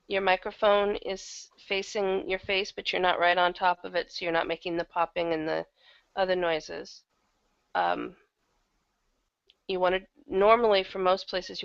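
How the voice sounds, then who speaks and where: A woman's voice: fairly low pitch, creaky, fairly bright tone, no room sound, dull audio. A woman with a slightly worn, thrashed throat, talking casually and candidly as an interview guest who acts in audio drama.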